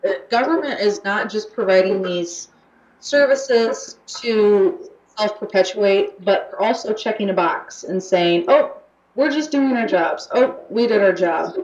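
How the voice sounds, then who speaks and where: A woman's voice: medium pitch, measured pace, darkish tone. A young American woman talking casually on a radio show, chatty with many fillers and restarts. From United States. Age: young adult. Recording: roomy, muffled radio recording.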